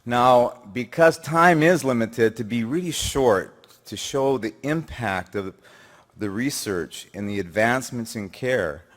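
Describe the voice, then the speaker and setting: Man in his 60s, loud and jovial podium speaker in a lecture hall, mid-pitched voice. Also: unhurried. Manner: loud, jovial, self-deprecating. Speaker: patient speaker. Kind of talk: personal talk at a symposium. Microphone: podium microphone.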